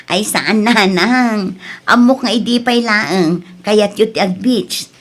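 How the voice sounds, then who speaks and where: A woman playing an unhurried, coaxing Filipino woman. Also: medium pitch. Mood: cheerful, coaxing. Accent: Ilocano Filipino. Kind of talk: radio comedy-drama acting.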